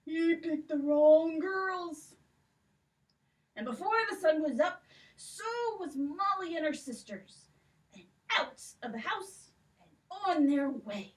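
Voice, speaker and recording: A woman with a high voice narrates a children's puppet show. She takes her time, pacing the tale very slowly. She sounds slightly away from the microphone. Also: distant mic, rough recording.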